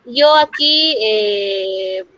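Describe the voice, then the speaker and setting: A woman's voice: mid-range voice, lively intonation, drawn-out words, a bit bright, no echo. A woman from Peru teaching an online class in Peruvian Spanish, explaining step by step and often checking in with "¿no?". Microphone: computer microphone on a video call.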